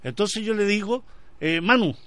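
A man's voice: high voice, expressive, moderate pace, fairly bright tone, no echo. A Chilean man hosting a conversational radio interview, often repeating words as he searches for a phrase. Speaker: radio show host.

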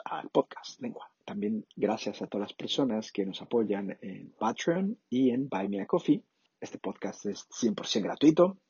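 Male podcast announcer, low and dark, dry dull lo-fi sound, casual filler-heavy read. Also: vocal fry, breathy edge, dry recording. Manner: loose, filler-laden delivery. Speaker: podcast co-host. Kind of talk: reading sponsor and show announcements.

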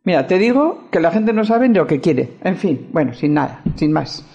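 A woman's voice: fairly low pitch, sing-song, moderate pace, mellow, highs cut off. A woman with a Spanish accent playing a firm, defensive lawyer in an amateur radio soap opera. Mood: casual, weary. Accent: Spain.

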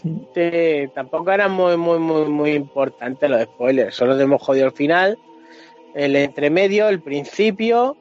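A man's voice: fairly high pitch, unhurried, a little mellow. A man with an accent from Spain chatting casually and colloquially on a video game podcast, swearing freely. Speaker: podcast panellist. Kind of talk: casual chat about video games. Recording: dull lo-fi sound, faint music.

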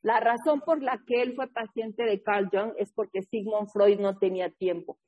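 A woman from New England leading an online recovery workshop, telling stories in a lively, casual way and often checking in with her listeners over a video call. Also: medium pitch, normal speed, phone-quality sound. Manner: lively, keeps checking in with a tag question. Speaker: recovery workshop leader and sponsor. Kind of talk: casual storytelling talk in an online support meeting.

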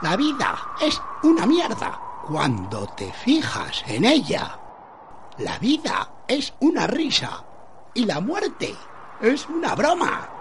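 Radio parody role: cheerful condemned philosopher acted out by a performer, goading, wildly swooping pitch, slow theatrical phrasing, noisy recording. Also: huge pitch swings, heavily acted. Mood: sardonic cheer.

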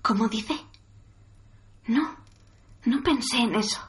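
A woman's voice: a little high, takes it slowly. A woman playing a survivor who recounts events in a radio serial. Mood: recounting, uneasy. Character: woman survivor giving her account. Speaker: actor in an audio drama.

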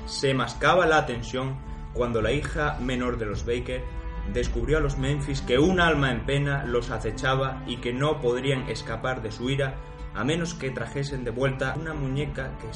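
Guy narrating a fantasy radio play, dramatic storytelling, mid voice, echoey w music under it. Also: mid-range voice. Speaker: narrator, game master. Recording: echoey room with music behind.

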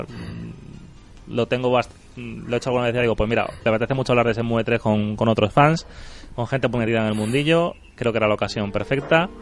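A man from Andalusia hosting a casual podcast, talking pragmatically and rambling with frequent restarts. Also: medium pitch. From Andalusia, Spain. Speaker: podcast host.

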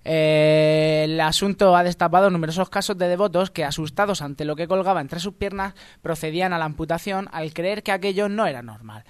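A man's voice: on the high side, fairly quick, few pauses, clear, resonant tone. A man co-hosting a radio comedy show, mixing mock news reports with casual banter.

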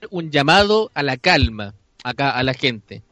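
A man's voice: mid-range voice, sing-song, measured pace, sharp tone, no echo, muffled recording. A man with a Chilean accent chatting casually and jokingly as a panelist on a radio show. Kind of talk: casual comedic banter.

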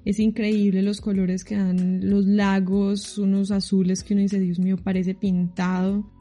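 A Colombian woman answering interview questions in a chatty, relaxed way, often laughing. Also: medium pitch, unhurried, soft background music. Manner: chatty, laughing, many restarts. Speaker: guest living abroad.

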